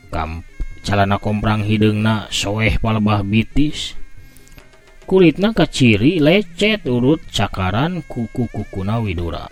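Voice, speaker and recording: Slow, lively male storyteller. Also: fairly low pitch. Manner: unhurried, lively telling with vivid sound effects. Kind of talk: scripted storybook narration.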